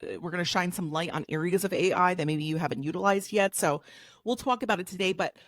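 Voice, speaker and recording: A woman co-hosts a podcast in a chatty, bubbly way, full of fillers. Her voice sits a little low and is recorded dry and close on a podcast mic. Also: fast talker, no room sound. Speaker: podcast co-host. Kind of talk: casual chat.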